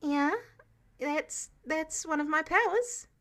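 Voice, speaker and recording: Lady doing a nervous nerdy teen superhero kid, high voice, unsure, ends stuff like questions. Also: lively intonation, slow pace, a bit bright, heavily acted. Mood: nervous, sheepish. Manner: hesitant, questioning upspeak. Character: nervous, awkward, nerdy teenage boy superhero.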